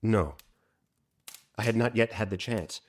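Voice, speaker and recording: A man's voice: lowish voice, unhurried, lightly acted. Earnest young sailor, played by a man. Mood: nervous, cooperative. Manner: earnest, polite, openly trusting. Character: earnest, innocent nineteen-year-old merchant sailor under arrest. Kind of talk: audio drama acting.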